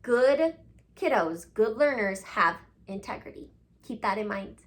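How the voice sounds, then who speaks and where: A woman's voice: medium pitch, animated, a little slow. A woman reading a storybook expressively and talking directly to children like a teacher, drawing out key words for emphasis. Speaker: children's read-aloud host, teacher-like.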